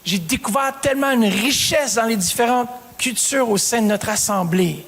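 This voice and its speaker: High-voiced pastor preaching in a reverberant hall. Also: male voice, normal speed, fairly bright tone, slightly rough. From Canada. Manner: preaching with rising emphasis and calls to the congregation. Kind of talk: sermon.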